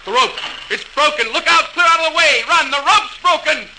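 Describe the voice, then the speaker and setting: A man's voice: very high-pitched, a little fast. An actor playing an urgent railway workman in 1940s radio style, speaking colloquially. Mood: panicked. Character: excitable male railway workman shouting warnings. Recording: noisy old radio transcription.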